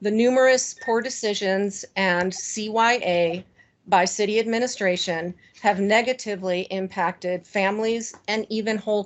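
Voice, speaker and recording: A woman reads a firm, measured prepared statement as a resident giving public comment at a council meeting. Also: mid-range voice. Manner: firm and measured, with "um" and "uh" fillers at the start.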